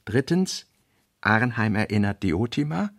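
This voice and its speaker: A man reading an author's fragmentary working notes for a radio play, slowly and deliberately. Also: lowish voice, sing-song, no echo, studio quality. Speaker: reader.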